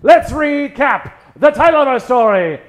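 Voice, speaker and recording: Animated, high-pitched male stage MC. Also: unhurried. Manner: theatrical, mock-formal showman. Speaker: stage MC warming up audience. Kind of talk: improv comedy hosting in 1940s radio manner. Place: live on stage in a comedy theater.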